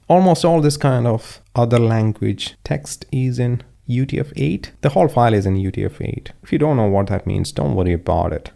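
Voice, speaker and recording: A man's voice: fairly low pitch, creaky, mellow. A middle-aged man from Kerala with an Indian English accent, explaining a coding demo step by step in a conversational way. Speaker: course instructor. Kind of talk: screen-share coding tutorial.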